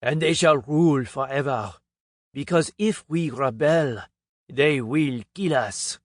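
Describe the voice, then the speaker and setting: A man's voice: medium pitch, slow, no echo, studio quality. A man voicing a jowly, middle-aged Frenchman with a French accent who lectures grandly on conspiracy theories. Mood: grandiose. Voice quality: theatrical, grandiose delivery.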